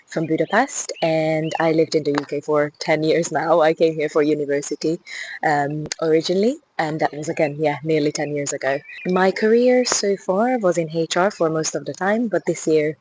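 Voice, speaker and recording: Low-voiced Hungarian woman vlogging. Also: fairly even intonation, normal speed, darkish tone, slight background noise, rough recording. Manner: chatty and enthusiastic, with restarts and light laughs. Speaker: fitness ambassador. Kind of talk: casual vlog while walking. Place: outdoors on a river path.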